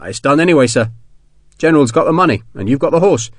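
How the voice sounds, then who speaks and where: A man's voice: fairly high pitch, animated, quick pace, dry recording, studio quality, voice pushed up. A man voicing a deferential but stubborn army sergeant who talks colloquially, in an audiobook. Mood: earnest. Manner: colloquial, punctuated with deferential sirs. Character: rough-spoken army sergeant, deferential, stubborn, slyly innocent. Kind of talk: audiobook character dialogue.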